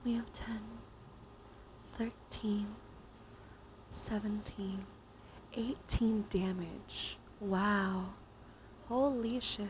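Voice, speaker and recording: A woman hosting a casual ASMR-style live stream game, soft-spoken with half-whispered phrase endings and a casually sweary way of talking. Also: medium pitch, steady tone, extremely slow, pauses often, a little hiss or hum, low-quality recording.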